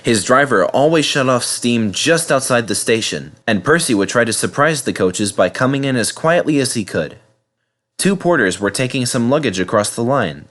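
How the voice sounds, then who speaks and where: A man reading a children's story aloud in an animated, scripted narration style. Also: medium pitch, normal speed. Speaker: storybook reader.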